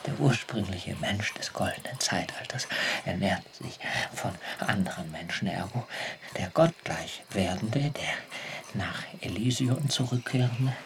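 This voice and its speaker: An actor in a German radio play playing a frail, starved, eccentric castaway zealot who stammers and rambles effusively. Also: male voice, on the low side, a little slow, a little hiss or hum. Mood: muttering, delirious.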